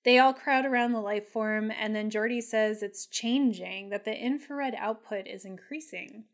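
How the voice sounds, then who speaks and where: A woman's voice: on the high side, moderate pace. A woman co-hosting a casual TV recap podcast, speaking bluntly and emphatically and swearing freely. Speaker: podcast co-host. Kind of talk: casual TV episode recap and commentary chat.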